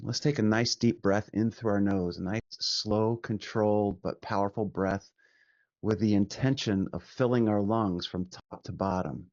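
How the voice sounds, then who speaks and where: A man leading a guided meditation as a teacher, speaking gently and soothingly with long pauses between short instructions. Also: on the low side, fairly even intonation, no room sound, dull audio.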